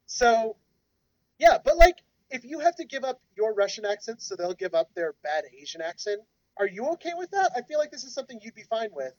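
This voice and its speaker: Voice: mid-range voice, very animated, fairly quick, darkish tone, no room sound. A podcast co-host in their thirties who talks in a joking, thoughtful way and gives advice. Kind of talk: casual discussion podcast. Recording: dull, dry lo-fi audio.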